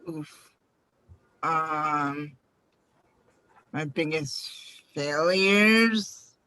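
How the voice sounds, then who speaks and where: A woman's voice: low-pitched, very slow, pushed and bright, no echo. A woman in her twenties from Connecticut, a youth advisory board member speaking hesitantly and reflectively in a casual panel chat. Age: 20s. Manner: hesitant, reflective, many ums.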